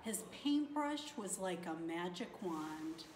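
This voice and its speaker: A woman's voice: lowish voice, animated, slow pace. A middle-aged woman reading a children's picture book aloud. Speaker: school staff member reading aloud. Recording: echoey room with faint noise.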